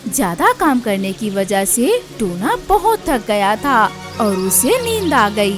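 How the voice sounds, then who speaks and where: High-pitched, animated woman storyteller. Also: takes it slowly. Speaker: story narrator. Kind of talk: scripted cartoon story narration. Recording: music behind the voice.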